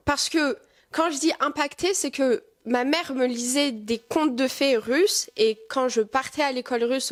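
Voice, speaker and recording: An 18-year-old woman from France answering interview questions thoughtfully, with frequent hesitations. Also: fairly high pitch. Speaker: guest.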